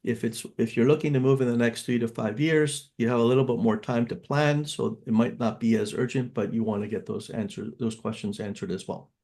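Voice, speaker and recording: A man in his fifties who grew up in Canada leads an online seminar with a mid-range voice. He speaks in a loose, conversational way and often pauses on filler sounds as he explains. He sounds like a financial advisor talking to an audience. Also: medium pitch, a little mellow. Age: 50s. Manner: casual, conversational, with frequent filler words.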